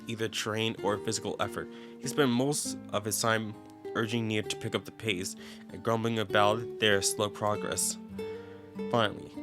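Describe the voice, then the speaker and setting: A man reading game text aloud as a dramatic narrator in a let's play. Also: lowish voice. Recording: background game music. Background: game music with plucked guitar.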